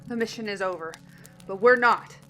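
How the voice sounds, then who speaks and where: A woman's voice: mid-range voice, moderate pace, bright, forceful tone, soft background music, natural voice. A woman playing a resolute marine sergeant in an audio drama, with a firm, rallying military manner. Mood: determined, rallying. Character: resolute female marine sergeant taking command.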